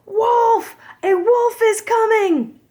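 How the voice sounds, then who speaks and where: A woman voicing a mischievous, panicky shepherd boy in a storybook, shouting out loudly. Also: very high voice, very slow, pitched above the performer's own voice, heavily acted. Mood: mock alarm.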